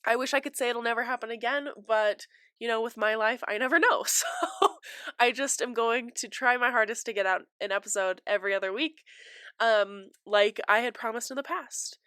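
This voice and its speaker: A woman's voice: on the high side, brisk, pushed and bright, clean tone, dry recording. A young adult woman hosting a true crime podcast, stuffy from a cold, chatty and apologetic with many fillers, on a close mic. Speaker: podcast host.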